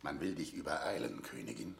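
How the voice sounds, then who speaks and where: An actor playing a noble, principled counsellor in a classical verse radio drama, grave, earnest and declamatory. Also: male voice, a bass voice, lively intonation, fairly quick, gravelly, roomy sound, heavily acted. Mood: urgent, earnest. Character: noble, principled elder counsellor pleading for mercy.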